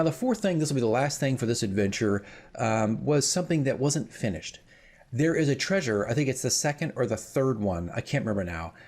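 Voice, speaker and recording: Husky man rambling casually. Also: mid-range voice, a little mellow. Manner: informal, self-deprecating. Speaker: host, dungeon master, radio drama creator.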